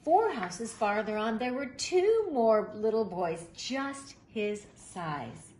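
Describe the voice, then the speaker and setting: Animated woman reading a storybook, roomy sound. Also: a little high, slow pace. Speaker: storybook reader. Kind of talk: expressive read-aloud of a children's picture book. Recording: roomy echo, faint background noise.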